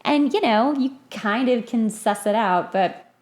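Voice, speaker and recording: A woman's voice: on the high side, unhurried, darkish tone. A woman hosting a podcast in casual conversation, playful and informal with slangy phrasing. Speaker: podcast host. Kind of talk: casual chat.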